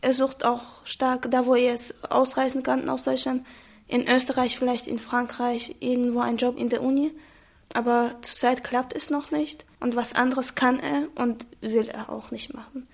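A young woman from Chechnya, a native Chechen speaker, giving a reflective, hesitant personal account with frequent pauses. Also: on the high side, little pitch movement, creaky. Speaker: interviewee. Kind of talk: personal testimony.

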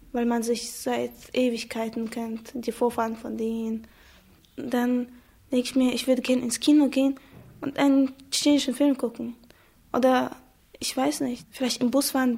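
A young woman from Chechnya, a native Chechen speaker, giving a reflective, hesitant personal account with frequent pauses. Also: fairly high pitch, darkish tone. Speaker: interviewee. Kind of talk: personal testimony.

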